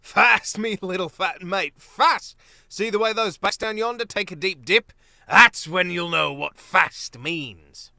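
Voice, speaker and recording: A man's voice: sits high, unhurried, vocal fry, no echo, studio quality, voice pushed up, full character voice. A man voicing a boisterous male shrew chieftain who bellows orders and sea shanties. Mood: jolly. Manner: loud, jolly, bellowing. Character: boisterous male shrew chieftain, a hearty bass-voiced sailor.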